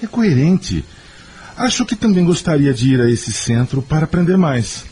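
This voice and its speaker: An actor playing a curious, reasonable male friend in an audio drama, with a husky voice. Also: fairly high pitch, very animated, normal speed, mellow. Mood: thoughtful, interested.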